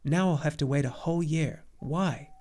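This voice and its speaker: A man's voice: mid-range voice, animated, measured pace, darkish tone. Man voicing a proud young boy hunter. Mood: angry, reproachful. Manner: terse, direct, solemn. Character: proud, impassive ten-year-old boy hunter.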